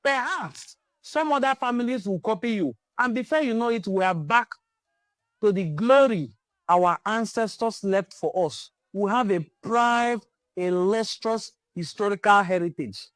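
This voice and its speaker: A woman's voice: mid-range voice, unhurried, no echo. Rallying Nigerian woman, dry close sound. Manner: rallying, repetitive exhortations. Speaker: cultural channel host. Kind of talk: impassioned cultural address.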